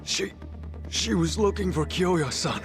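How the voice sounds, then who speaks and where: A man's voice: sits high, lively intonation, unhurried, music in the background. An actor playing a shaken, stammering man who was just attacked, in a game dub.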